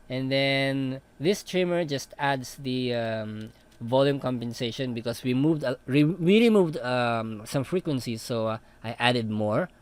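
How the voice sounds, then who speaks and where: A young man with a baritone voice, talking through a vocal mix with a relaxed, hesitant manner and many fillers. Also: takes it slowly, clean tone. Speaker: audio mixing tutor. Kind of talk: casual walkthrough of vocal mixing plugins.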